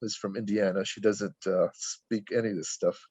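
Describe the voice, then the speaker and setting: A man's voice: fairly low pitch, steady tone, moderate pace, a little mellow, slightly rough, no room sound. An older man, Tagalog his first language but raised in America, talking reflectively in a casual group discussion and often restarting as he searches for words. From Philippines, raised in the United States. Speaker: reading group participant. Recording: dull lo-fi dry sound.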